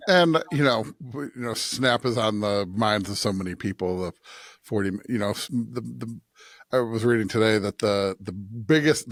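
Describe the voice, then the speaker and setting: An American man co-hosting a conversational religious podcast, thinking aloud in a halting way, often rephrasing himself and checking in with his listener. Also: on the low side, a bit bright, no room sound, studio quality. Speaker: podcast co-host, preacher.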